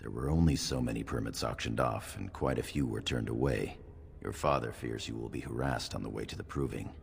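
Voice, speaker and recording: An actor playing a loyal, deferential dwarven warrior attendant with a gravelly voice in a fantasy video game. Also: male voice, fairly quick, full character voice. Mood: apologetic, explaining. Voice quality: gravelly, very deep. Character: loyal male dwarven warrior attendant, respectful, plain-spoken.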